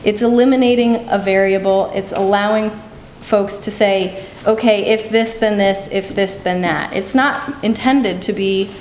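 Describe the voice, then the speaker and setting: Measured woman in an echoey hall. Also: medium pitch, a little mellow. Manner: careful, measured, hesitant restarts. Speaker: town legal counsel. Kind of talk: public meeting remarks. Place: town meeting hall. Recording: echoey hall, faint noise.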